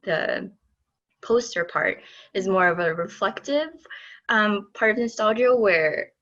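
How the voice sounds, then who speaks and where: A woman's voice: mid-range voice, takes it slowly, fairly bright tone. A woman speaking thoughtfully and a little hesitantly as an artist on a discussion panel. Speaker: artist and exhibit lead on a panel. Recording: dull, muffled audio.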